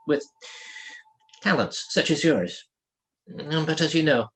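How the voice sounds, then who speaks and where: A man's voice: a little high, a bit bright, poor audio. A man voicing a young exiled prince, courteous, gracious and a little hesitant, in a storybook reading.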